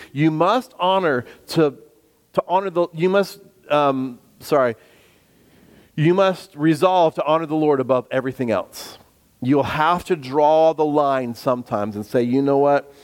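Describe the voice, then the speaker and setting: Bass-voiced man preaching in a hall. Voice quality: bass voice at the moment. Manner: earnest preaching, sometimes slowing down for emphasis; acts out the voices of biblical figures when quoting them. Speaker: pastor. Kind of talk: sermon. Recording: long reverb of a hall.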